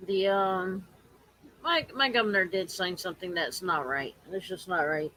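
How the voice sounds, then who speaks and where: A woman with a hoarse voice from allergies, talking casually and haltingly to her viewers on a home live stream. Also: on the low side, pushed and bright, low-quality recording. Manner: halting, repetitive, earnest.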